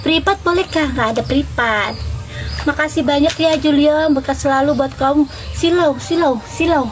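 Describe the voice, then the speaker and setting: A woman's voice: sits high, normal speed, faint music behind. A woman chatting casually and informally with viewers as the host of a live stream. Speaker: livestream host.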